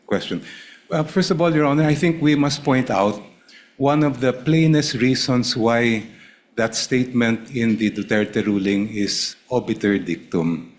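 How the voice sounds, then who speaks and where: A man with a Filipino accent argues as counsel before an impeachment court. He speaks in a measured, formal way and addresses the bench as Your Honor. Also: fairly high pitch. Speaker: defense counsel. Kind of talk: formal legal argument.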